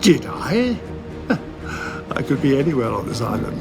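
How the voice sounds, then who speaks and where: Eccentric time traveller hero in an audio drama, really slow teasing delivery, music behind. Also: male voice, medium pitch, big pitch swings. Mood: mocking, playful. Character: mischievous, eccentric heroic time traveller, a man.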